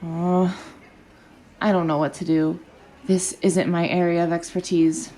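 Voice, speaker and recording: An actress playing a blunt, impatient young rebel in an audio drama, brusque and sardonic. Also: female voice, on the low side, level tone, unhurried, mellow, slight background noise, natural voice. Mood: grumbling, uneasy.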